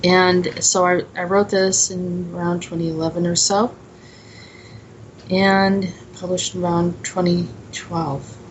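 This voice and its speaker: Low, even-voiced woman with background noise. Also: poor audio. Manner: halting, meandering delivery. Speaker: host and author presenting her own poem. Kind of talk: informal spiritual talk.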